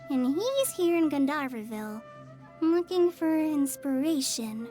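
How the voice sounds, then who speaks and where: A woman voicing an earnest, kind teenage girl who is a trainee forest ranger in a video game, gentle and reassuring, with music behind. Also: high voice, very animated, unhurried. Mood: polite, helpful. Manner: gentle, reassuring, eager to help. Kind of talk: animated video game voice acting.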